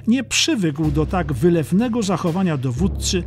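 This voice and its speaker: A man's voice: high-pitched, expressive, normal speed, a little mellow, faint music behind. A man reading scripted history narration as an audiobook narrator.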